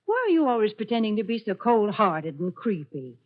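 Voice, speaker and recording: A woman's voice: mid-range voice, sing-song, a little fast, natural voice. An American woman playing a cheery, wholesome radio saleswoman, delivering homely 1940s advertising banter. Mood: cheery, coaxing. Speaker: sponsor announcer. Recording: muffled 1940s radio broadcast.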